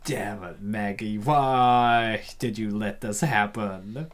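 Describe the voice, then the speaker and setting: A man's voice: fairly low pitch, slow. A man hosting a casual comic reading with playful commentary and theatrical exclamations. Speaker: host.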